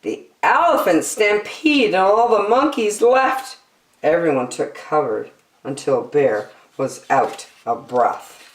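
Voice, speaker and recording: A woman reading a children's picture book aloud with theatrical, deliberate phrasing, in a roomy, echoey recording. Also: fairly low pitch, expressive, recorded from a distance. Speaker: storytime reader.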